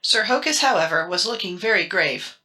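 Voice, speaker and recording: A woman narrator with a bright, crisp-edged voice at a medium pitch. She reads a scripted children's adventure tale in a dramatic storybook style. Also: expressive, a little fast. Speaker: audiobook narrator.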